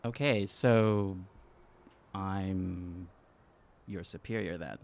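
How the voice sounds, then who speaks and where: Laid-back man with lowish voice. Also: extremely slow, gravelly, darkish tone. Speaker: tabletop game player. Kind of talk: casual improvised role play.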